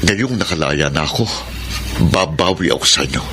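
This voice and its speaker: A man's voice: deep voice, expressive, normal speed. A man playing a remorseful, affectionate middle-aged father freed from prison, earnest and heartfelt, in a Filipino radio drama. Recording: prominent music under the voice.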